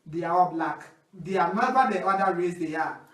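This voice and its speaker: A man with an African accent debates casually, cutting in to press his point. His voice is high and bright, picked up at a distance in an echoey room. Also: normal speed. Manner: argumentative, interjecting. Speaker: talk show panelist.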